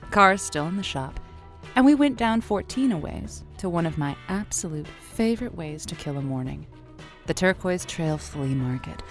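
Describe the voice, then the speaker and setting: A woman's voice: on the low side, animated, normal speed, soft background music. A woman playing a chatty, flirty radio matchmaking host with a playful, theatrical delivery in an audio drama. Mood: playful, sultry. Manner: theatrical, playful, wordplay-loving.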